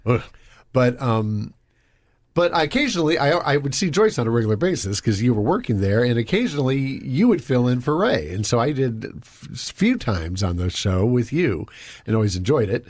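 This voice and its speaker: A man's voice: mid-range voice, big pitch swings, normal speed, a little mellow, no echo, clean studio sound. A man co-hosting a local podcast, asking a guest questions in a loose, rambling way full of fillers. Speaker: podcast co-host. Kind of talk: casual interview chat. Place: podcast studio.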